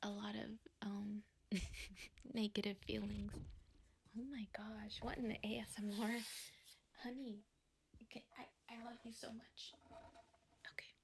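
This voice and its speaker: A young woman whispering softly in a slow, rambling way, chatting ASMR-style at home. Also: medium pitch, level tone, creaky, slight background noise. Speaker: vlogger. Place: quiet home, indoors.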